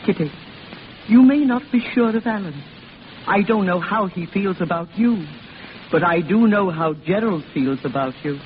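An actress playing a frank, kindly old grandmother in a 1940s radio drama, gentle, knowing and amused. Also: lowish voice, lively intonation, normal speed, natural voice. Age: older. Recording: muffled, hissy old radio recording.

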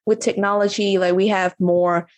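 A woman's voice: mid-range voice, flat delivery, moderate pace, no room sound. A woman from Vietnam, a coach and podcast guest, talking in a rambling, casual way with many fillers and restarts. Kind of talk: casual interview chat.

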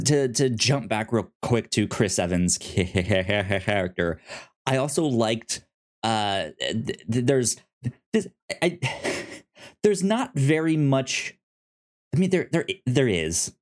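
Halting, stammering film podcast co-host. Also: mid-range voice, expressive. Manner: halting, repetitive stammering delivery with many false starts. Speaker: podcast co-host reviewing films. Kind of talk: casual film review chat.

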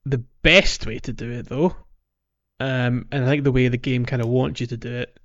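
A man's voice: mid-range voice, steady tone, normal speed, fairly bright tone. A man hosting a podcast, explaining a video game playthrough casually and a bit hesitantly. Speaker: podcast host. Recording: slightly dull lo-fi audio.

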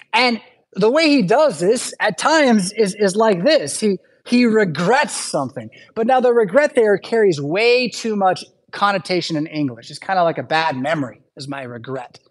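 A male pastor delivers a sermon in a church. His tone is conversational and unpolished, with asides and restarts. He keeps checking in with the congregation as he explains. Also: high voice. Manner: conversational, frequent asides and "right?" tags.

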